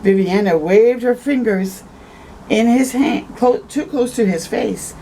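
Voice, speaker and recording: Female storytime reader: unhurried, mellow, expressive children's read-aloud with chatty asides, some background noise. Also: a little high, rough recording. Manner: conversational asides, tags questions with "eh". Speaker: storytime host reading aloud.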